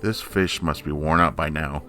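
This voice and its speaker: A man's voice: very deep voice, fairly even intonation, normal speed, bright, forceful tone, faint music behind. A man voicing a sensible, friendly young polar bear cub in a storybook, explaining things earnestly. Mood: measured.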